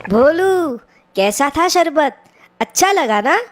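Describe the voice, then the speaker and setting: A woman's voice: high voice, lively intonation, slow. A woman voicing a greedy, sly village friend in a cartoon story, coaxing and falsely friendly. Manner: coaxing, exclamatory. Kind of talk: animated moral story acting.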